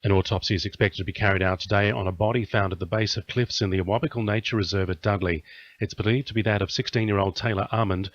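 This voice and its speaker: A man's voice: lowish voice, fairly even intonation, fast talker. A man with an Australian accent reading a scripted radio news bulletin. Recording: dull lo-fi radio audio.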